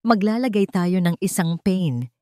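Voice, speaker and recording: A woman voicing a grave, low-pitched king. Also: expressive, unhurried, a little mellow, no room sound, clean studio sound. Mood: serious, determined. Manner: grave, firm, emphatic. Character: solemn, resolute, protective king, adult man. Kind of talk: dramatic storybook dialogue.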